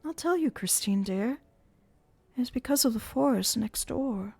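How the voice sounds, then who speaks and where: A woman's voice: mid-range voice, very animated. A woman doing a gloating masked male ventriloquist. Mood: mocking. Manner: slow, taunting, swooping and gloating. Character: menacing masked male ventriloquist, mocking and theatrical.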